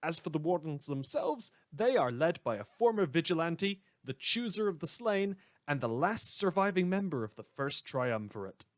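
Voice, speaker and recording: A man's voice: a little high, very animated, normal speed, no room sound, clean studio sound. Man playing a theatrical, swooping, sardonic radio host. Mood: sarcastic. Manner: sarcastic, dramatic, emphatic. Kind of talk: scripted satirical radio news commentary.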